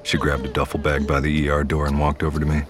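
A man playing a somber storyteller, narrating an audio drama in the first person in a measured way. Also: very deep voice, completely flat delivery. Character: somber man recounting his friend's death.

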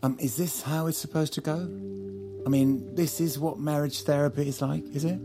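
An actor playing a hurt, defensive husband in an audio drama, sullen and gravelly. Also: male voice, on the high side, flat delivery, moderate pace, music in the background, lightly acted. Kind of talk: naturalistic audio drama acting.

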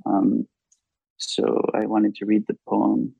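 Male author, Hebrew native speaker, deep voice, dry close sound, reflective and halting interview talk. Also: level tone, gravelly, husky, no echo. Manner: halting, thoughtful, frequent restarts. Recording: dull lo-fi recording.

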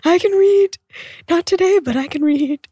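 A woman's voice: extremely high pitch, measured pace, mellow, no room sound, studio quality. A woman co-hosting a podcast, light and self-mocking in casual chat, often laughing. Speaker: podcast co-host.

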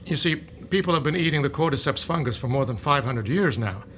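Lecturing professor, explanatory, audio drama, faint background hiss. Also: male voice, on the high side, expressive, a little fast, fairly bright tone. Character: male professor of fungi, lecturing, patronizing. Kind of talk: modern audio drama acting.

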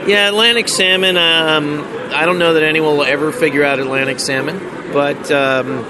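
An American man working in marketing for a fishing lodge, chatting casually in an interview, relaxed, with lots of ums and dry humor. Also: on the high side, moderate pace. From United States. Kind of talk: casual interview at an outdoor event. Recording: noisy outdoor street recording.

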